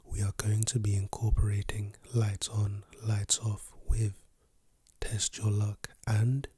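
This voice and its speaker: A man with a lost, hoarse voice, speaking softly and whispering in a playful, teasing way as an ASMR creator. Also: fairly low pitch, little pitch movement, slow pace, no room sound. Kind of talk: soft-spoken and whispered ASMR.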